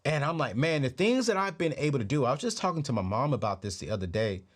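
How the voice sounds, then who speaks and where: A man in his late thirties hosting a personal finance show, giving a motivational talk with a preachy, energetic delivery. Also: mid-range voice, fast. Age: 30s. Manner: preachy, energetic, repeats phrases. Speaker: podcast host.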